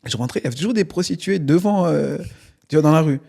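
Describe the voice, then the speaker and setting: A man's voice: a little high, fast, gravelly. A man whose first language is not French, telling stories in a casual podcast studio interview and animatedly acting out the people he quotes. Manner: animated, acts out quoted voices, constant "tu vois". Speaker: interview guest, stage performer. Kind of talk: casual storytelling conversation.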